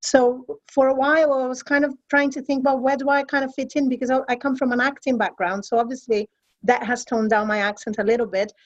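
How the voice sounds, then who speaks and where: A woman's voice: sits high, fairly even intonation, fast talker. A Brazilian-born woman whose first language is Portuguese, speaking English with a British-tinged accent in a reflective, hedging way during a casual roundtable. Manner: reflective, many hedging fillers. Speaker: voice-over artist. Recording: muffled lo-fi audio.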